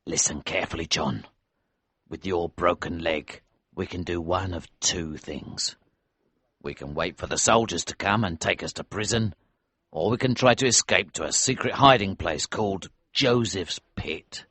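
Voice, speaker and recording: A man playing a vengeful smuggler and innkeeper who talks in a cold, measured way. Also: sits low, sharp tone, breathy edge, dry recording, full character voice. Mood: steady, fatherly. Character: grim, vengeful older male innkeeper and smuggler. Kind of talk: audiobook character voice.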